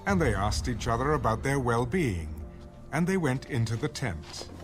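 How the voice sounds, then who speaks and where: A man's voice: mid-range voice, sing-song, moderate pace, close to an everyday voice. A man reading scripture as the narrator of a dramatized audio Bible. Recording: music bed under the voice. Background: music.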